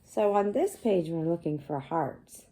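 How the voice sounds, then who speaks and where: A woman with a low, soft-edged, mellow voice reads a children's book aloud at a slow pace. She teaches as she goes, explaining in simple words and putting questions to her young listeners. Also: lively intonation.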